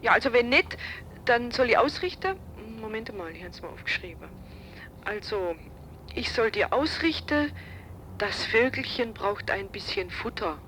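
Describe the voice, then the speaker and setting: A lively, bright female voice plays a wife on the telephone in a radio drama. She is chatty and probing, stumbling and repeating herself. There is faint noise around her. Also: on the high side, normal speed, poor audio. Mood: nervous, insistent. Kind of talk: radio drama acting, phone dialogue.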